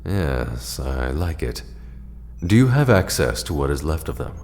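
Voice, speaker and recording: A man playing a solemn, formal ancient ally in an audio drama, speaking in a measured, deliberate way. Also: very deep voice, very animated, a little mellow, in a large hall, exaggerated voice.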